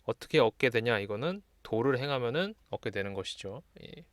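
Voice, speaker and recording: A man giving an explanatory lesson, reading texts aloud and commenting on them in a measured, didactic way. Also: medium pitch, expressive, no echo. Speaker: lecturer.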